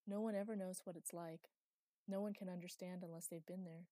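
A woman reader gives voice to a gentle spectral old man who speaks with complete calm. His tone never changes and carries no emotion. The sound is mellow, dry and close. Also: high voice, fairly quick, dry recording, natural voice. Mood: serene. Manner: peaceful, emotionless.

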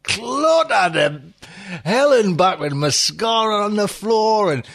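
Man, middle-aged, podcast host, chatty, rambling, animated. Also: a little high. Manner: rambling, chatty, laughs often, swears. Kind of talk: casual chat.

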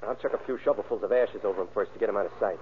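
A man acts as a low-voiced henchman who rattles out clipped slang. He is a wary but willing crook in a vintage radio crime drama. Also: very fast, close to an everyday voice. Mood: brisk, callous. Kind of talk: old-time radio crime drama acting. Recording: tinny, faintly noisy old radio recording.

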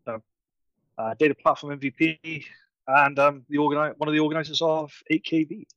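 A man's voice: a little high, steady tone, brisk, dry recording, highs cut off. A man from Wales, chatting enthusiastically as a guest on a video call and tripping over his words now and then. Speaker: conference organiser guest. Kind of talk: casual video-call chat.

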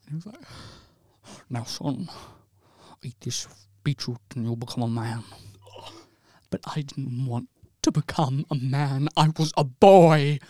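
A man's voice: a little high, a little mellow, dry recording, clean studio sound. Man telling a mock-dramatic comedy story.

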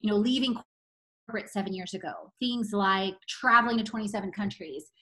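A woman's voice: medium pitch, a little fast, bright, forceful tone. A woman in her forties from Poland, a podcast guest talking enthusiastically and laughing often as she chats. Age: 40s. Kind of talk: casual interview chat.

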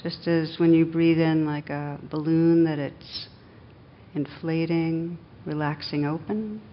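A woman meditation teacher leading a guided meditation in a gentle, unhurried manner with long pauses, on a dull recording. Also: fairly even intonation. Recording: dull, muffled sound with faint rumbling noise.

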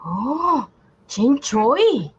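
A woman acting as a sardonic, world-weary commoner with a highish, very animated voice. Her delivery is wry and colloquial, in a homemade radio drama with slightly dull lo-fi sound. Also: extremely slow, husky, full character voice. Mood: astonished, curious. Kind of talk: amateur radio drama reading.

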